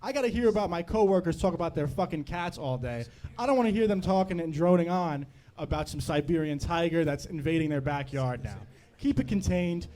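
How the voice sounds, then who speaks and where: A man's voice: on the high side, expressive, fairly quick, warm tone. A man giving a crude, profane comedy rant in a mock debate. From United States.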